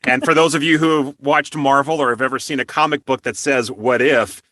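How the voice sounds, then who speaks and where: A man's voice: mid-range voice, steady tone, brisk, pushed and bright, no room sound. A man hosting a casual interview, chatting in an effusive, enthusiastic way. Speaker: interview host.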